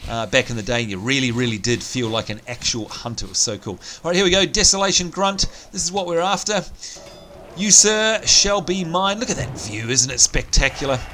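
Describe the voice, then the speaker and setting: A man's voice: mid-range voice, lively intonation, brisk, pushed and bright, a little hiss or hum. Strained-voiced man doing game commentary. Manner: chatty, upbeat, thinking aloud. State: strained, nearly lost voice. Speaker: let's play host. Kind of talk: gameplay commentary. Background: game sounds and music.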